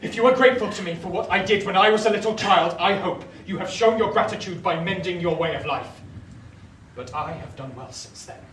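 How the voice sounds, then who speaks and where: An actor playing a formal, uneasy young gentleman in a radio play, with an educated English accent and clipped speech. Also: on the high side, natural voice. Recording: distant, echoey, faint hiss.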